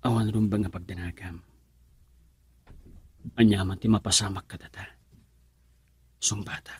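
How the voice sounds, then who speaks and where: A man's voice: fairly low pitch, steady tone, normal speed, a little mellow, slightly rough. A young man playing a devoted, reassuring boyfriend in an Ilocano radio drama. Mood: tender, reassuring.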